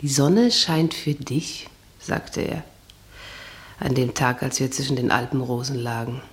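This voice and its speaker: An actress playing a sensual, dreamy, plain-spoken wife who muses to herself in a German radio drama monologue. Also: female voice, rumbling low, unhurried. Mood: musing. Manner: musing, intimate, talking to herself.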